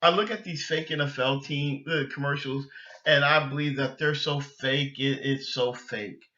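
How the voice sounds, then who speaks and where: A man hosting a sports fan podcast, ranting off the cuff in a halting, repetitive, exasperated way. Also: medium pitch, steady tone, bright, forceful tone. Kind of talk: unscripted sports fan rant. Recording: dull lo-fi sound, echoey room.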